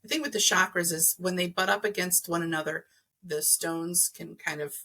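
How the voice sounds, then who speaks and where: A woman's voice: on the low side, fairly even intonation, moderate pace, pushed and bright. A woman speaking as a podcast guest, explaining things conversationally and rephrasing as she thinks aloud. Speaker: podcast guest, energy healer. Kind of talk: conversational explaining of crystals and chakras.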